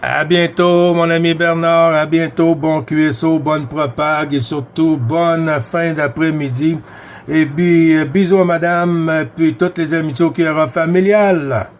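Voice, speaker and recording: A man with a Quebec French accent, chatting as a CB radio operator at his home station, friendly and hesitant with many pauses. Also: on the high side, steady tone. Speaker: CB radio operator, channel host. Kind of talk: casual radio chat. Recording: noisy radio-room recording.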